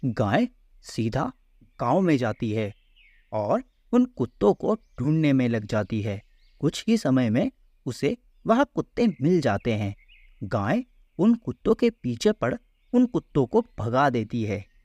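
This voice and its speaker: A man's voice: mid-range voice, very animated, slow, a little mellow, no echo. A man narrating a scripted children's story as a storyteller in a close voice-over.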